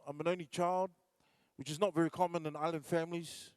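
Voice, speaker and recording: A man from New Zealand working a live stage as a standup comedian, his voice riding high and bright. He rambles through stories with plenty of fillers and false starts. The sound is close and dry, with little room around it. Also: level tone, fairly quick, clear, resonant tone, no echo. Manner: storytelling with acted-out voices, many fillers and restarts. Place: large concert hall stage.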